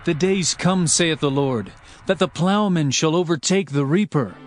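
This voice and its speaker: Male scripture narrator, high register, solemn and declamatory, with clear musical underscore. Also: unhurried, faint music behind. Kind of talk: dramatized scripture reading.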